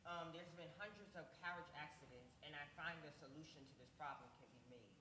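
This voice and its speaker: A young performer playing a quick-talking early inventor. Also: mid-range voice, sharp tone, close to an everyday voice. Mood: matter-of-fact. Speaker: youth performer in a church skit. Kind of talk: stage acting in a church program. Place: church hall. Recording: echoey hall with long reverb and background noise.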